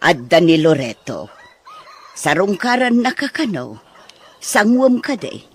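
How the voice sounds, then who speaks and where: A woman playing a stern middle-aged mother in an Ilocano audio drama, with a husky voice and an Ilocano accent. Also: lowish voice, sing-song, a little slow, heavily acted. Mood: admonishing. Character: stern, admonishing mother of grown daughters.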